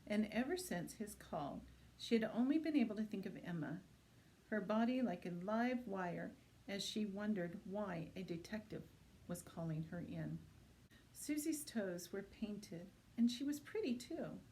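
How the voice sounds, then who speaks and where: Female reader for thriller fiction, soft-edged mellow tone, mid pitch, some background noise. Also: lively intonation, a little slow, low-quality recording. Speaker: library reader introducing and reading a book aloud. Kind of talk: audiobook-style reading of a thriller novel.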